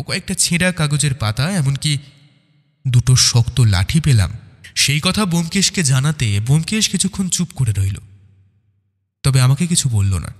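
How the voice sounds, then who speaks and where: A man narrating a scripted first-person detective story. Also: on the high side. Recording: long reverb on the voice.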